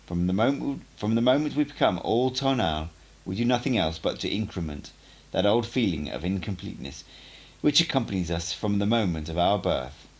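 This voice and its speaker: A playful old sorcerer, put on by a man reading aloud, lectures his student with patient relish. His voice is low and gravelly, and it swings up and down with animated emphasis. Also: full character voice. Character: old male sorcerer teacher, playful, rhetorical. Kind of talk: audiobook character dialogue.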